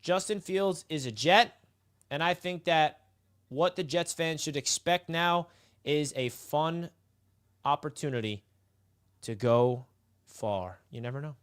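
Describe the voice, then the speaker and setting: A man's voice: on the high side, takes it slowly, pauses often, fairly bright tone, clear, resonant tone. A man hosting a casual live sports stream, talking straight to his chat in a hyped, chatty way. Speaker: livestream host. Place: outdoors in the sun.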